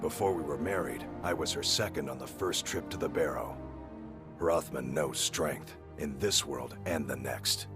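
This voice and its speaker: An actor playing a gruff, hardened Nordic warrior in a video game, stern and measured. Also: male voice, very deep voice. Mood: stern, reflective. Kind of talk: video game character dialogue. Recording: music behind the voice.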